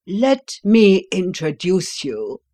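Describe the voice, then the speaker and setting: A woman reading the part of a calm, brilliant detective, measured, courteous and composed. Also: lowish voice, no echo, heavily acted. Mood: wry. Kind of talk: audiobook character reading.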